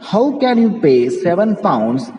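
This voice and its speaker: A man's voice: high-pitched, big pitch swings, slow pace, warm tone, pitched above the performer's own voice, heavily acted. A man with an Indian English accent voicing a disbelieving, indignant aunt in a play read-aloud, questioning and exclaiming. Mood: indignant, shocked. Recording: echoey reverberant room with background noise.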